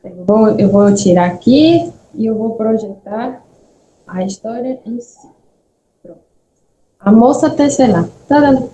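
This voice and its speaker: A woman's voice: fairly high pitch, animated, measured pace, pauses often, dark tone, lo-fi sound. A woman with a mixed Spanish and Brazilian Portuguese accent, giving a friendly, chatty talk with storytelling over a video call. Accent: mix of Spanish and Brazilian Portuguese. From Bahia, Brazil and Peru. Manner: friendly, chatty, checks in with listeners. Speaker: guest speaker, nurse. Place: echoey room, video meeting. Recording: echoey room, faint background noise.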